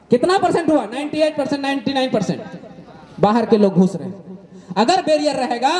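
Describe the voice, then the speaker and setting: Very high-pitched Jharkhandi man making a speech. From Jharkhand, eastern India. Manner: emphatic, repeats points and poses rhetorical questions. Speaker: activist speaker. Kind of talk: political explainer speech to listeners.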